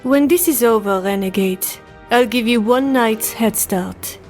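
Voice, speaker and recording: A woman's voice: fairly high pitch, measured pace, a little mellow, faint music behind, close to an everyday voice. A woman voicing a cool, flirtatious aristocratic countess with a poised, sardonic manner in a fantasy drama. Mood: sly, teasing.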